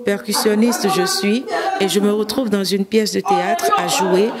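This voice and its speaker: A woman's voice: medium pitch, normal speed. A woman from Benin, a singer and percussionist, answering questions in a reflective way and repeating herself at times. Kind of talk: interview answers. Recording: music playing behind.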